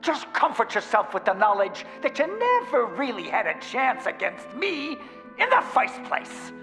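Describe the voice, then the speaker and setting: An actor playing a flamboyant, gloating mad bomber in a video game, with theatrical, boastful taunts. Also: male voice, extremely high pitch, huge pitch swings, a little slow, big-room sound, over music, heavily acted. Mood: mocking, triumphant. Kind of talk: video game villain acting.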